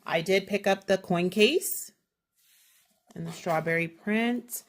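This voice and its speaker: A woman's voice: mid-range voice, slow. A woman with a slight cold and a sniffle, chatting through a shopping haul and correcting herself as she goes. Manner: chatty, self-correcting, detailed. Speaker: haul vlogger. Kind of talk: casual product haul walkthrough.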